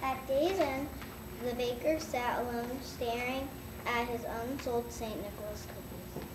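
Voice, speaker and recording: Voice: mid-range voice, unhurried, recorded from a distance. A child of about eight or nine reading scripted story narration in a school readers' theater. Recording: echoey, noisy room from afar.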